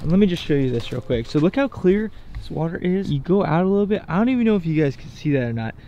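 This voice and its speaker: A man's voice: fairly high pitch, sing-song, fast, mellow, lo-fi sound. A young man, chatting casually and rambling as he vlogs to a handheld camera. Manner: chatty, rambling, laughs at himself. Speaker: fishing channel host. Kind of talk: casual vlog talk to camera. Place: store aisle, then outdoor lakeside park. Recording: background music.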